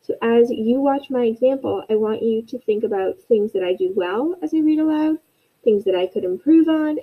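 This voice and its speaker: A woman teacher with a fairly high, somewhat husky voice leads a read-aloud for students. She speaks in an encouraging, instructional way. The recording is a little muffled. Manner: encouraging, asks guiding questions.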